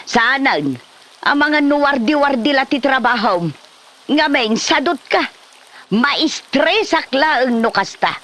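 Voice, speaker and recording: A woman's voice: high-pitched, animated, takes it slowly, fairly bright tone, exaggerated voice. A woman with a Filipino Ilocano accent playing a bossy aunt who scolds and hands out chores in a comedy drama. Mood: scolding. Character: bossy aunt handing chores to lazy man.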